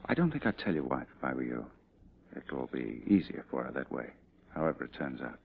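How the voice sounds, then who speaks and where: Deep voiced cavalry commander, confident old-time drama style, muffled vintage recording. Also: male voice, expressive, brisk, vocal fry, breathy edge, full character voice. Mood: grave, resigned. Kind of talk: mid-20th-century American dramatic acting. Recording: dull old radio-era drama recording.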